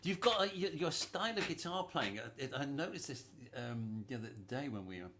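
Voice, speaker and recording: A man's voice: mid-range voice, animated, brisk, a bit bright, a little hiss or hum. A man hosting a casual music chat, hesitant and repeating words, laughing often. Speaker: host.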